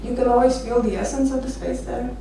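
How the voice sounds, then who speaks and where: Female presenter from Romania, 30s, relaxed storytelling with hesitant phrasing; captured distantly in a reverberant venue with clear background noise. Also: a little high, fast talker. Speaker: architecture photographer giving a conference talk. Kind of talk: informal presentation with personal stories. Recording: echoey noisy venue, distant microphone.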